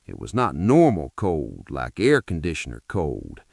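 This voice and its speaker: Nigerian man, podcast storyteller, dramatic first-person narration, deep voice, very dry close sound. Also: huge pitch swings, a little slow, gravelly, darkish tone, breathy edge, no room sound.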